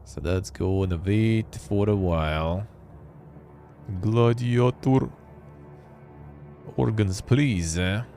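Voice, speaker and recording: A deep-voiced man hosts a live stream in a loose, offhand way, muttering to himself and reading text aloud with dry little remarks. Soft music plays quietly behind him. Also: extremely slow, long pauses. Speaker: streamer and show host. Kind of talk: casual live-stream commentary.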